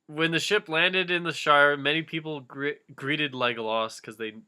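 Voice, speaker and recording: Bright-voiced man, joking through a reading. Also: fairly high pitch, steady tone, moderate pace, smooth. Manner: jokey, breaks off into asides. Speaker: reader of fan fiction with joking asides. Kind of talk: comedic dramatic reading with casual banter.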